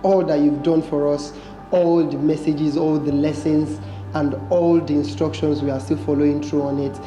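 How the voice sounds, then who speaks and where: A man's voice: on the high side, little pitch movement, moderate pace, dark tone. A man, a stage actor, giving a heartfelt spoken tribute to a late mentor. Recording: echoey room, music over the voice.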